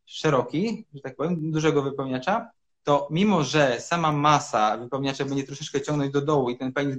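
Man explaining as a doctor on a video call. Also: a little high. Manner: measured and explanatory, many hesitation sounds. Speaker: urologist guest. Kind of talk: explanatory interview answers. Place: home room, indoors.

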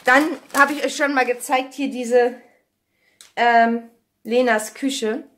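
Chatty higher-pitched woman vlogging. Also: fairly even intonation, slow, fairly bright tone. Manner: chatty, informal, thinking aloud. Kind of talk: casual chat to camera. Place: home kitchen. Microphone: phone at arm's length. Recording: noisy handheld vlog recording with clicks.

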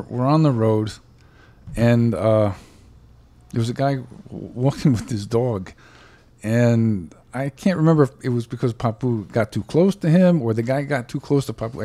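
Podcast co-host, male, warm mellow tone, animated storyteller who laughs a lot. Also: medium pitch. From United States. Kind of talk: casual chat.

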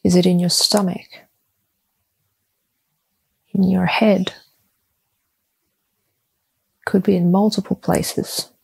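A woman leading a guided meditation, giving soft, calm, soothing instructions in short phrases with long, deliberate pauses. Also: lowish voice, gravelly, slightly rough, poor audio.

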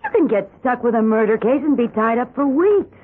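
A muffled vintage radio recording of an American housewife, chatty and practical. Her voice is husky, and she talks in an insistent, conversational 1970s radio drama manner. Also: on the high side, lively intonation, a little fast. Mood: exasperated. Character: chatty, practical, self-interested suburban housewife. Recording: muffled old radio broadcast.

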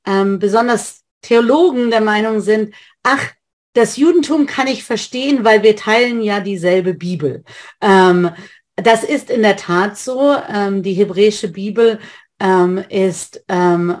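A woman in her thirties, a rabbi, giving an online lecture from a home study with bookshelves, talking haltingly with many filler sounds and restarts over a webcam. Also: medium pitch. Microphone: webcam on a video call.

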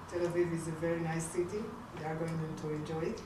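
Low-voiced woman interpreting in an echoey room. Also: flat delivery. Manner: halting, hesitant delivery in simple non-native English. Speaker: interpreter for a visiting football team at a press conference. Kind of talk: press conference interpreting. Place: echoey indoor press conference room. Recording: very echoey room, distant mic, clear noise.

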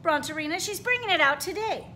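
An eager little girl calls out urgently and with excitement. Her voice is very high and bright, as a woman reading aloud puts her on. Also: fast talker, a little hiss or hum, exaggerated voice.

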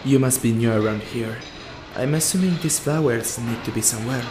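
A man's voice: medium pitch, brisk, lightly acted. A man playing a friendly, confident, helpful waiter in a radio play. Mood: helpful, brisk. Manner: helpful, self-assured. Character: friendly, confident young dining-room waiter. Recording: roomy echo, music behind.

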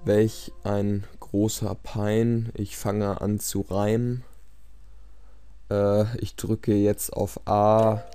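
A man's voice: on the low side, flat delivery, extremely slow, mellow, clean tone. A man giving casual, self-deprecating gameplay commentary in a resigned, rambling way. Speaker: let's play commentator. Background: game music.

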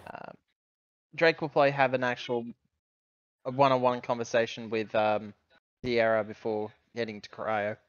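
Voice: mid-range voice, flat delivery, normal speed, pauses often, clear, resonant tone, no room sound, muffled recording. A player in a tabletop roleplaying game, casually narrating and speaking for their character.

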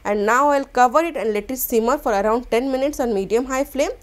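A woman, hosting a cooking channel and explaining a recipe step by step in a gentle, instructional voice-over. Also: high voice, brisk. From India.

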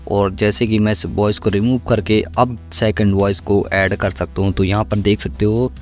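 Low-pitched man giving a tutorial. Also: level tone, moderate pace. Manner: instructional, repeats each step. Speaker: tech tutorial host. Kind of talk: casual step-by-step screen tutorial. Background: music.

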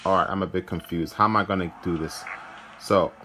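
A man's voice: sits low, fairly even intonation, fairly quick, sharp tone, a little hiss or hum. A man hosting a gaming video, commentating casually and thinking aloud in an informal way. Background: game music.

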